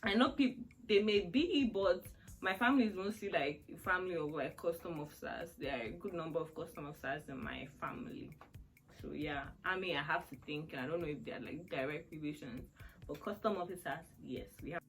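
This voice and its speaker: A woman's voice: sits low, fairly quick, faint music behind, poor audio. A woman in her twenties chatting through a question-and-answer vlog, rambling and laughing often. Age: 20s. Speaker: vlogger. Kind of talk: casual Q&A chat.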